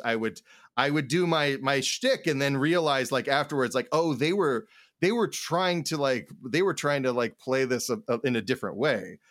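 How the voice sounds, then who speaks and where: A man co-hosting a casual advice chat about game mastering, halting and rambling as he thinks aloud. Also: mid-range voice, a bit bright, no echo, studio quality. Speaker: podcast co-host and game master.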